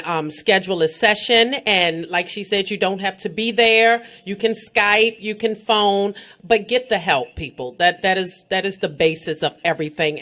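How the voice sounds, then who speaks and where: An American woman hosting a radio interview, talking in an emphatic, lively way with frequent "um" and direct calls to her listeners. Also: medium pitch. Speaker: radio host. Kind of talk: radio interview and event promotion.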